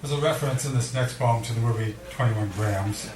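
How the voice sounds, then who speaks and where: A man giving a live poetry reading, speaking in a measured, deliberate way with dramatic pauses and casual introductions. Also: mid-range voice, distant mic, very noisy. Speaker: poet reading at a poetry event. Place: indoor cafe venue before a live audience. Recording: echoey room recorded from afar, audience murmur.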